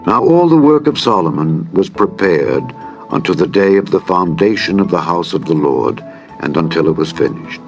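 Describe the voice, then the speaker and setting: Deep voiced guy reading the bible, solemn and formal, soft music behind him. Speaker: Bible narrator. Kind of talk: dramatized scripture reading. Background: background music.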